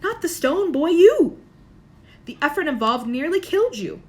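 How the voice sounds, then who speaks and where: A woman voicing a kindly old male headmaster in a storybook reading, gentle, measured and formal. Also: medium pitch, big pitch swings, natural voice.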